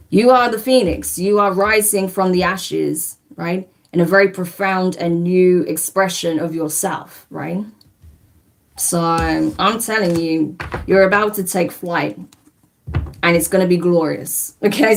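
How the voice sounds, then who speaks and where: A woman's voice: on the low side, unhurried, creaky. Soothing woman giving a live tarot reading. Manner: soothing, repeats "right?" and "okay?". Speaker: tarot reader. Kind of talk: livestream spiritual reading.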